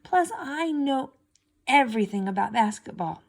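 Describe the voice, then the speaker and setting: A young storybook heroine, put-upon and resentful, voiced by a woman reading aloud. Her voice is lifted in pitch and emphatic. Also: animated, moderate pace. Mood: hurt, indignant. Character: Cinderella, athletic girl, put-upon and resentful basketball fan.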